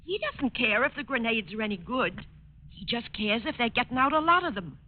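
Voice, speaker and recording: A woman's voice: fairly high pitch, big pitch swings, fairly quick. An actress playing an earnest, plain-spoken middle-aged cleaning woman in 1940s radio drama style. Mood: earnest, worried. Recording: tinny, noisy 1940s radio recording.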